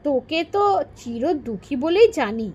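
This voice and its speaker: Woman voicing a nosy, high-pitched village neighbour. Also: big pitch swings, measured pace, dark tone, breathy edge, muffled recording, heavily acted. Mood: curious, amazed.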